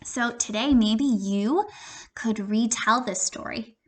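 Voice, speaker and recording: A woman teacher giving an interactive children's read-aloud, expressive and questioning, speaking directly to young listeners. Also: on the high side, takes it slowly, slightly rough. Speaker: primary school teacher.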